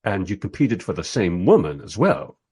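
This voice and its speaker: A man's voice: low voice, very animated, moderate pace, darkish tone, husky, exaggerated voice. A man playing a bemused documentary interviewer who narrates a radio play in a dry, reflective, self-deprecating way. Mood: wry, reflective. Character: wry, bemused male documentary interviewer narrating his journey.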